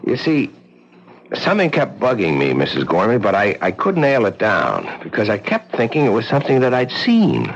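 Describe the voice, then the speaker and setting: A sharp, reasoning young husband. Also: male voice, lowish voice, wildly swooping pitch, normal speed, slight background noise. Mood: explaining. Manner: reasoning aloud, emphatic. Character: sharp-minded young husband solving a mystery. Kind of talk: 1970s radio drama acting. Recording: muffled old radio broadcast.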